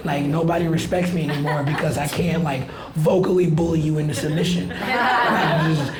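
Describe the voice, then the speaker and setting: A man in his thirties with a raspy voice, doing a loose, rambling stand-up set into a handheld mic on a small club stage. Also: on the high side, moderate pace, noisy background. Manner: loose rambling delivery with filler "like". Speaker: stand-up comedian. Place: small comedy club stage with live audience. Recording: roomy club with crowd laughter. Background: audience laughter.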